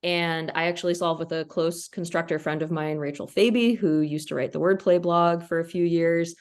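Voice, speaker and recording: Woman, low voice, fluent and upbeat explainer; crossword constructor as interview guest. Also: fairly even intonation, moderate pace, a little mellow, smooth. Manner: fluent, enthusiastic explainer, occasional laughs. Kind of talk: casual interview answer.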